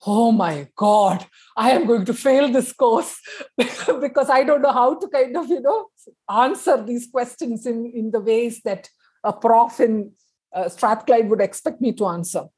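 A woman's voice: on the high side, measured pace, darkish tone, breathy edge. A middle-aged woman from Kerala in India, whose first language is Tamil, chatting as a guest on a video call and telling stories with many fillers. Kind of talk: casual interview chat.

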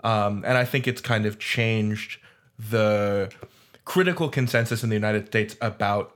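A man on a roundtable panel, talking informally and thinking aloud in a halting way. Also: fairly low pitch, a bit bright. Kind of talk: roundtable discussion.

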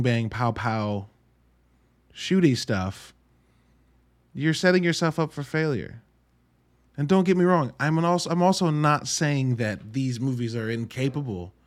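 A man hosting a podcast solo, talking through a movie review in a wry, conversational way with emphatic asides. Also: mid-range voice. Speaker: podcast host.

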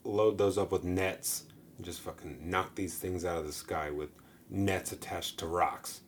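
A man's voice: sits low, fairly even intonation, measured pace, slight background noise. A young man co-hosting a casual podcast, riffing with crude jokes. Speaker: podcast co-host. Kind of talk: casual chat.

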